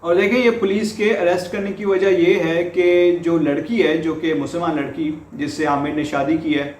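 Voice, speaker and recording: A man's voice: fairly high pitch, fairly even intonation, normal speed. A man with a Pakistani accent presents explanatory news commentary to viewers, repeating points for emphasis. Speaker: host presenting a news report. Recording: echoey room.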